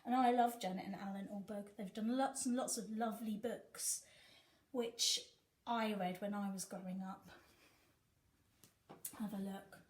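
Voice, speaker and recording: A woman teacher reading a picture book aloud to young children, with animated, sing-song emphasis. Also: mid-range voice, measured pace, long pauses. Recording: echoey room.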